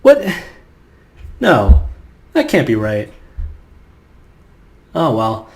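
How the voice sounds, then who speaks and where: A man's voice: mid-range voice, huge pitch swings, extremely slow, creaky. A man giving casual, rambling, nitpicking let's play commentary. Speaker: gameplay commentator. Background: game music.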